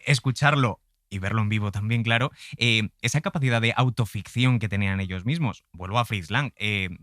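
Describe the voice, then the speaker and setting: A man's voice: on the low side, fairly quick, fairly bright tone, no room sound, studio quality. A man from in a reflective, conversational podcast discussion, often adding tag questions.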